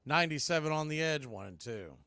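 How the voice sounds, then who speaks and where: Guy doing radio baseball play by play, kinda high voice, dry close sound, chatty analysis between pitches. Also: fast talker, clean tone, no echo. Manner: steady, conversational calls with analysis. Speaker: play-by-play announcer.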